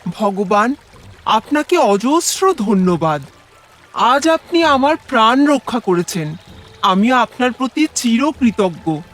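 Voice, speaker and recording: A woman's voice: a little high, big pitch swings, slow pace, faint music behind. A woman voicing a grateful, devout young man who prays in an earnest, reverent manner.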